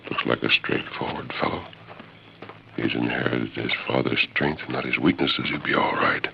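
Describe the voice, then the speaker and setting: An actor playing a steady, commanding western hero in a 1940s radio drama, measured and earnest, with an American accent. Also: male voice, extremely low pitch, creaky, slight background noise, heavily acted. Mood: watchful, quiet. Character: steady, authoritative male western hero, masked lawman. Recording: muffled vintage radio recording.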